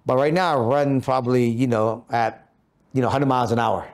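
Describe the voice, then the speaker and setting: A man's voice: medium pitch, fairly even intonation, moderate pace, a little mellow. A man in his fifties talking about goal setting on a podcast in an office meeting room, in an earnest coaching manner full of rhetorical questions. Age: 50s. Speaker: podcast co-host. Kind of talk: motivational podcast talk.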